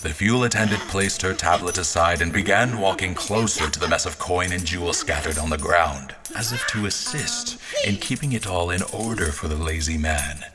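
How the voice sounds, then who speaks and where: A man's voice: low voice, normal speed, hardly any pauses, over music, lightly acted. A man playing a haughty royal officer, narrating an audio drama in a dry, formal manner. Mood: observant. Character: haughty, observant male royal officer recounting events. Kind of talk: scripted first-person narration.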